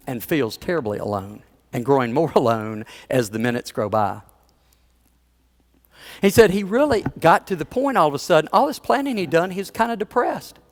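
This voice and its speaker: A man's voice: fairly high pitch, big pitch swings, moderate pace, pauses often. A male pastor delivering a sermon in a conversational storytelling manner, with chuckles and playful asides to the congregation.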